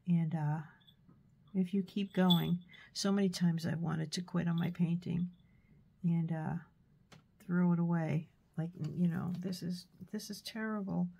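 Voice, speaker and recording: A woman with a stuffy nose from a cold, giving a relaxed, chatty painting tutorial with fillers and soft asides. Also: fairly low pitch, creaky, slightly rough. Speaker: art tutor.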